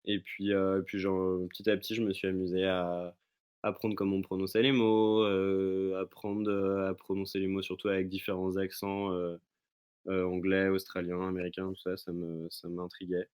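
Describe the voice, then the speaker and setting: A man's voice: low-pitched, monotone, normal speed, darkish tone, clear, resonant tone. A man chatting casually and telling personal anecdotes as a podcast guest, often restarting and searching for his words. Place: remote recording from own location.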